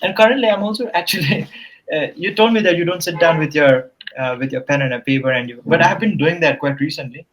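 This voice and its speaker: A man's voice: a little high, a little fast, fairly bright tone, rough recording. A man whose first language is Nepali, talking as a guest English tutor in a casual interview. He explains things earnestly and often checks in with "you know" and "right?".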